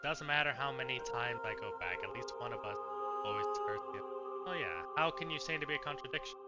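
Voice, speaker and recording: A man's voice: medium pitch, fast talker, over loud music. A man doing casual let's play commentary, thinking aloud and reading game choices out.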